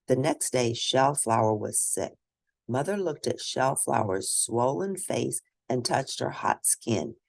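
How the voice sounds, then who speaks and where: A woman reading a children's story aloud and discussing it in an expressive, teacherly manner. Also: rumbling low, takes it slowly, gravelly, dry recording. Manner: expressive, teacherly, asks questions of listeners. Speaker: storybook reader.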